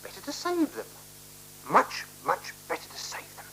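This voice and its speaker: A prim, genteel woman in a 1970s radio drama, coaxing and teasing while staying coolly evasive. Her pitch is very varied and expressive. A faint hiss runs under the old broadcast. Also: moderate pace, rough recording. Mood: teasing, withholding. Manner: genteel, coaxing, patronizing, playing with words. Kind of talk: 1970s radio play acting.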